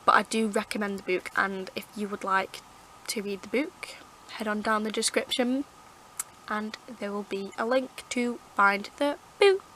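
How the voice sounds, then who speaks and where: Girl w a croaky throat rambling about books, bright voice, lots of ums, camera mic. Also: medium pitch, steady tone, moderate pace, slight background noise, low-quality recording. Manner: rambling, chatty, lots of fillers and restarts. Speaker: book vlogger. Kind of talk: casual book review chat.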